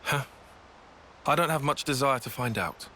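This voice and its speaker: An actor playing a guarded, dry-witted young soldier in a video game cutscene, sounding sardonic. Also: male voice, mid-range voice, normal speed, bright, forceful tone, slight background noise, close to an everyday voice. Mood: pensive.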